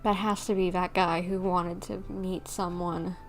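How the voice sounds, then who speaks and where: A woman's voice: fairly low pitch, flat delivery, a little slow, faint music behind. A woman game streamer giving casual, chatty, playful commentary on a livestream. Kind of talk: casual livestream gameplay commentary, reading dialogue choices aloud.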